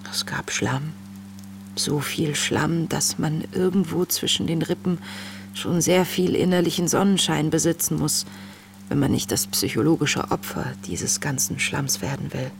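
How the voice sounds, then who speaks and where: Hushed young woman diarist, creaky voice. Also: deep voice, background noise. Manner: hushed, introspective, often near a whisper. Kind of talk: intimate radio play monologue, diary reading.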